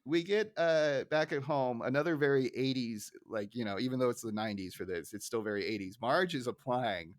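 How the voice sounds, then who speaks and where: A man's voice: mid-range voice, a little fast, hardly any pauses, no room sound, studio quality. A man co-hosting a podcast, chatting casually as he recaps a show. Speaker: podcast co-host. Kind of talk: casual episode recap chat.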